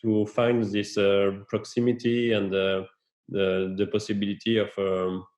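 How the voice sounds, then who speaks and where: A man with a French accent, a guest researcher answering in a webinar interview, halting with many fillers and restarts. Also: lowish voice, flat delivery, darkish tone, echoey room.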